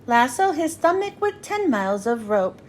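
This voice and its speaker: A woman's voice: sits high, big pitch swings, moderate pace, slight background noise. Woman as a rowdy, menacing animal gang. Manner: shouted commands.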